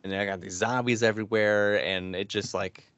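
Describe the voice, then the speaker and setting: A man's voice: lowish voice, steady tone, normal speed, a bit bright, smooth, no echo. A man in his thirties chatting casually as co-host of a gaming podcast. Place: small home room. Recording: dull, lo-fi dry audio.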